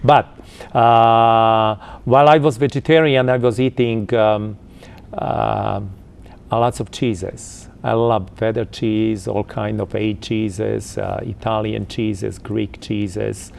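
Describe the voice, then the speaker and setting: Croatian-accented guest in his fifties, low and gravelly, hesitant answers. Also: flat delivery, a little mellow. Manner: halting, with many uh fillers. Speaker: interview guest. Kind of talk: casual interview answers.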